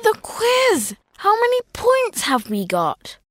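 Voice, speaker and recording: A woman voicing a cheerful young boy at summer camp in a scripted textbook dialogue, with a clear, careful, sing-song delivery. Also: very high voice, huge pitch swings, slow, no echo, exaggerated voice. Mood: bright.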